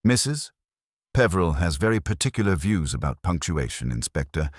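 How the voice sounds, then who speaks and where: A man's voice: low-pitched, sing-song, moderate pace, dry recording, studio quality. A man voicing a composed, prim woman administrator with a formal, guarded manner in a storybook mystery. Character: composed, prim female tourist-board administrator.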